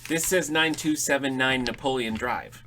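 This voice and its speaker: A man's voice: mid-range voice, normal speed, background noise, close to an everyday voice. A man playing a grumpy, dryly sarcastic middle-aged father in an audio drama comedy. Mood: puzzled. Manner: dry, sarcastic, exasperated.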